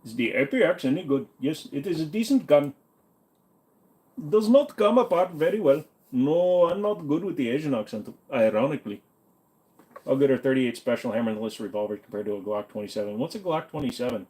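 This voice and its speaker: Brief: male streamer, mid-pitched, offhand and blunt, cracks jokes, swears casually. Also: animated, fairly quick, a little mellow. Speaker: host answering viewer questions. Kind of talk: casual livestream Q&A.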